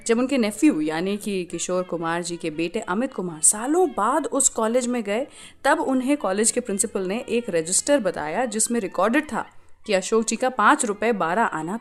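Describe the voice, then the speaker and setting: A woman with an Indian accent presenting a radio show, telling stories in a chatty, conversational way and switching between two languages. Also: mid-range voice. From Indore, India.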